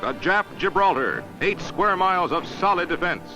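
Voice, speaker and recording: A man's voice: high voice, lively intonation, moderate pace. An American man narrating a 1940s newsreel as commentator, in a dramatic, emphatic, declamatory style with clipped phrases. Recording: noisy 1940s newsreel soundtrack. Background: music, artillery fire and battle sounds.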